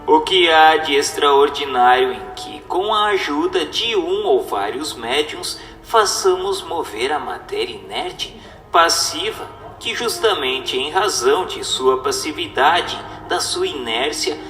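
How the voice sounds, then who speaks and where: A man acts out a solemn male spirit teacher, lecturing humankind as in a radio play. The voice sits fairly high and moves very slowly through grave, oratorical phrases. It rings with hall reverb over music. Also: animated, bright, forceful tone. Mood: solemn, didactic. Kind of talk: dramatized reading of a spiritual text, radio drama.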